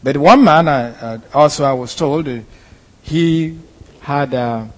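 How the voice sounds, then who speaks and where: A man's voice: on the high side, slow pace, in a large hall, poor audio. A man from Nigeria with a Nigerian accent, a pastor telling a story in a sermon, hesitant, with many fillers and restarts. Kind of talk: sermon testimony.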